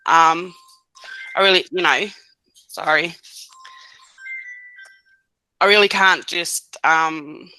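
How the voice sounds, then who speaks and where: A woman's voice: sits low, slow pace, pauses often, bright, forceful tone, clear, resonant tone, soft background music, poor audio. A woman in her thirties, a podcast guest giving short, hesitant answers with many fillers. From Australia. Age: 30s. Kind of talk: casual podcast interview.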